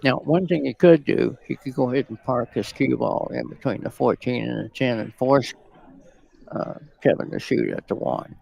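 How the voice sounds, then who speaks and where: A man commentating a pool match in a relaxed, analytical way, with a soft voice that is wearing out from a long stretch of talking. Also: medium pitch, normal speed, poor audio. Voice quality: gravelly, soft voice going out. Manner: relaxed, analytical shot talk. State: voice tired and going.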